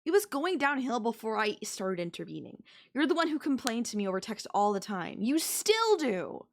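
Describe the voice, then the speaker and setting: A woman's voice: on the high side, sing-song, normal speed, gravelly. A woman playing a manipulative young babysitter who is obsessively in love with her employer, speaking in a conversational, possessive and defensive way in an intimate audio roleplay. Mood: bitter, insistent. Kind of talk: anime-style audio roleplay acting, intimate one-to-one.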